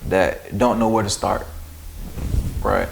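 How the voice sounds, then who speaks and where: A man hosting a relaxed interview, asking loose, slangy questions and backing the guest with quick agreement. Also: on the low side, vocal fry. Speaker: interviewer, host.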